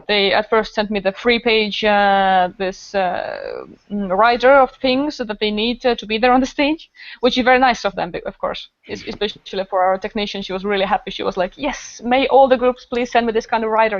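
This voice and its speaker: A woman chatting casually and enthusiastically on a podcast as a festival organiser, rambling as she goes. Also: medium pitch. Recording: dull lo-fi podcast recording.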